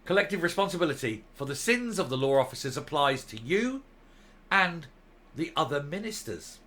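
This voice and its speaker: A male commentary host reading a document aloud and commenting on it, stressing key words with deliberate, emphatic phrasing. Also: a little high, very animated, fairly bright tone.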